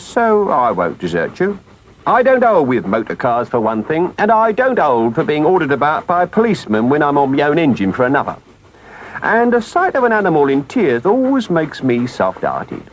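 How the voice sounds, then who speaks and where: A man's voice: fairly high pitch, slight background noise. A man voicing a stern but kindly engine driver in a storybook, earnest and plain-spoken. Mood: stern, then kindly.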